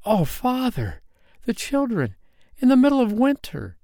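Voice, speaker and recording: A tender, motherly elder sister from a storybook, voiced by a man, speaks in a lifted voice. She is caring and anxious, gently imploring, in a dry recording. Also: mid-range voice, very animated, a little slow, dry recording, voice pushed up. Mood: distressed.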